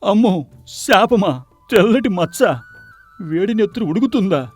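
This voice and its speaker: A man's voice: sits high, darkish tone, close to an everyday voice. A man playing a guilty royal treasurer in a cartoon story, fretful and anxious. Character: panicky guilty male royal treasurer, scheming thief. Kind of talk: animated cartoon story dialogue.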